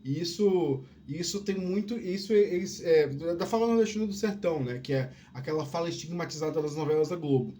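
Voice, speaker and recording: A man with a softened Northeastern Brazilian accent and a high-pitched voice talks on his own in a loose, casual monologue. He rambles, restarts his sentences, and keeps tagging them with little checking questions. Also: expressive, brisk, hardly any pauses. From Northeast Brazil (Piauí family). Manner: rambling, many restarts and "né?" tags. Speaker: podcast host.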